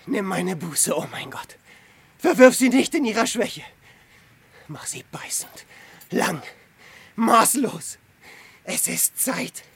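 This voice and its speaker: Tormented ascetic hermit, radio play: high, creaky voice, fervent halting outbursts from whisper to cry. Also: male voice, expressive, fairly bright tone, no room sound, exaggerated voice. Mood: fervent, pleading. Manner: fevered, halting exclamations between whispers and cries. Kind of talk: literary radio drama acting.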